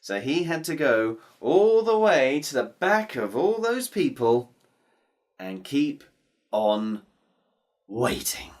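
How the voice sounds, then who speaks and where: Slow, expressive woman storyteller. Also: rumbling low. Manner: expressive, playful, drawn-out repetitions. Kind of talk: storytelling for children.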